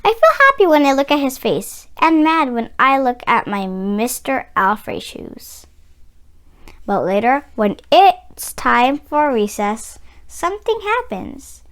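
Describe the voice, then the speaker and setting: A woman reading a children's picture book aloud as host, with expressive, dramatic and deliberate phrasing. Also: high-pitched. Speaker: read-aloud channel host, storyteller.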